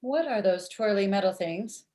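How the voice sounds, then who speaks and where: A woman's voice: medium pitch, moderate pace, dark tone, natural voice. Blunt lovestruck robot played by a woman, mid-range voice, stilted machine-like delivery, sitcom script read on video call. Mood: flustered. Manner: stilted, uncontracted, machine-like phrasing. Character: blunt, lovestruck robot matchmaker with literal speech. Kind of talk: table read of comedy script.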